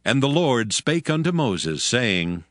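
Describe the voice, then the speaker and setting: A man's voice: medium pitch, very animated, slow, fairly bright tone, no echo, clean studio sound. A man narrating scripture in a solemn, formal manner. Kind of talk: dramatized audio Bible narration.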